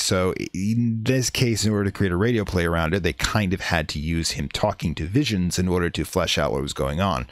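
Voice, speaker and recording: A man hosting a horror podcast, introducing in character with theatrical, drawn-out emphasis. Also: low-pitched, no echo, studio quality. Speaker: podcast host.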